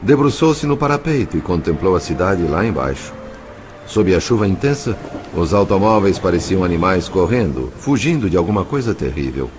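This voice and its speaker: A man with a Brazilian accent narrating a novel as an audio drama, in a measured, literary storytelling style. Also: low-pitched, big pitch swings, darkish tone, a little hiss or hum. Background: music.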